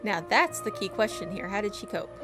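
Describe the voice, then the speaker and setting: A woman streamer chats casually over a game. Her voice is medium in pitch, playful and quick to react. Soft music plays faintly behind her. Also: lively intonation. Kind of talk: casual gameplay commentary.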